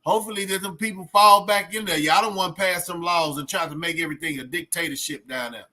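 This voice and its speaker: Male streamer, high forceful voice, streetwise casual opinion talk. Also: quick pace. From Texas, United States. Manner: streetwise slangy talk with frequent "man" and "bro". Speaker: host of a reaction livestream. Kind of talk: casual opinionated rant to viewers.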